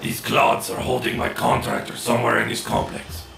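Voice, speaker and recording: A man voicing a roguish, informal dealer in a video game, chatty like a salesman pitching side jobs. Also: moderate pace, creaky. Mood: concerned, urgent. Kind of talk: video game character acting. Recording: echoey hall reverb, faint music.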